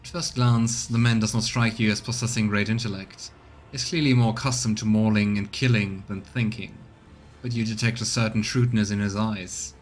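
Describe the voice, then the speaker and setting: A man's voice: on the low side, steady tone, normal speed. A man streaming a game, reading its text aloud as narration and adding casual comments of his own. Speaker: streamer, let's player.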